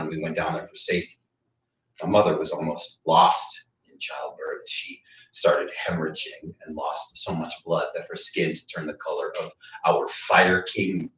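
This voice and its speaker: An actor in a staged play reading, playing a wry, hard-drinking eccentric who tells droll, long-winded stories theatrically. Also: male voice, sits low, fairly bright tone. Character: wry, eccentric, hard-drinking male raconteur, thesis mentor. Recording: roomy echo, distant mic.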